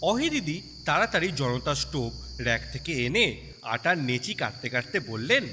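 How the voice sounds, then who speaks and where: A man's voice: fairly high pitch, sing-song, normal speed, bright, forceful tone, slight background noise. A man narrating a scripted story expressively, as an audiobook storyteller would. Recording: long reverb over music bed. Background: music and cricket sounds.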